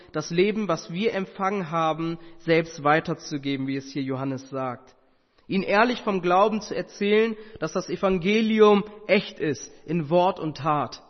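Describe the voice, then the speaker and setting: A man whose first language is Tamil, preaching an earnest sermon with rhetorical questions and occasional hesitations. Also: sits high. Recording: muffled sound with long hall reverb.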